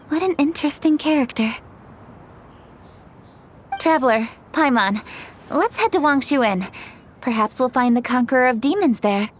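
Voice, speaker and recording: A dutiful young official speaks crisply and evenly in a fairly high voice. A faint background hiss sits under the game audio. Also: female voice, a little slow, long pauses. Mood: composed. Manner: crisp, composed, businesslike. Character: dutiful young woman official, brisk, composed.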